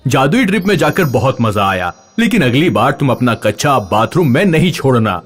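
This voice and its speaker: A man voicing earnest family men on a magical adventure in a children's animated story. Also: medium pitch, moderate pace, faint music behind. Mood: pleased.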